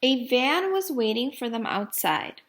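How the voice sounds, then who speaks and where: A woman reading a children's storybook aloud like a teacher, deliberately, putting questions to young listeners. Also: a little high, sing-song, slight background noise.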